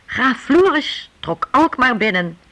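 An expressive, reflective narrator telling a scripted historical radio drama with emphatic phrasing. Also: high voice, slow, full character voice, poor audio.

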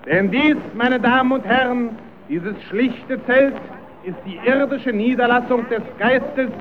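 An actor playing a grandiose, wheedling fairground barker in an old radio play, declaiming pompously. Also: male voice, extremely high pitch, measured pace. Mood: pompous, enticing. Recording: tinny old radio recording with crowd noise.

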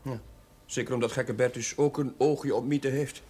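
An actor playing an earnest, anxious young curate with a Dutch accent in a television drama, hesitant and stammering. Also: male voice, mid-range voice, fairly even intonation, normal speed, a little mellow, natural voice. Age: young adult. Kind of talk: naturalistic television drama acting. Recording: noisy old television soundtrack.

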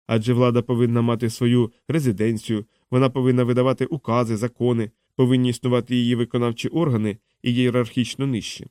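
A man's voice: mid-range voice, flat delivery, fast talker, few pauses, darkish tone, clear, resonant tone, no room sound, clean studio sound, close to an everyday voice. Man reading as an insistent, astonished male engineer. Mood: agitated, insistent. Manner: exclaiming, insistent.

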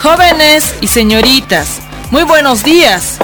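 A woman playing a formal, admonishing schoolteacher in her thirties in a radio drama, speaking in measured phrases. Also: high voice, animated. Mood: formal, earnest. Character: earnest 35-year-old schoolteacher addressing an unruly class. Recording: music and classroom chatter behind.

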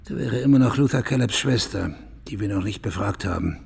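Gravelly, sardonic police sergeant. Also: male voice, fairly low pitch, lively intonation, fairly quick, a little mellow, full character voice. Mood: pondering. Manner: sardonic, colloquial, blunt. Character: gruff, sardonic male police sergeant, streetwise and blunt. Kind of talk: radio drama acting.

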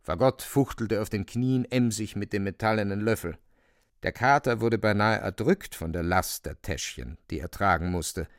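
A man's voice: lowish voice, normal speed, dry recording, studio quality. A man narrating a radio drama in a scripted, theatrical storytelling style.

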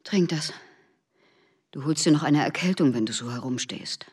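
A woman playing a practical, protective wife in a German radio play, matter-of-fact and firm, with a slightly husky voice. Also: deep voice, animated, normal speed, darkish tone, recorded from a distance.

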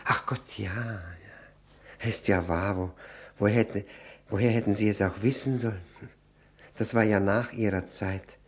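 An actor playing a nervous, self-abasing widower who drinks, stammering and repeating himself in an old radio play. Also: male voice, fairly low pitch, steady tone, a little slow, pauses often, breathy edge. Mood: nervous, rambling. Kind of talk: 1960 German radio play acting. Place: radio drama studio.

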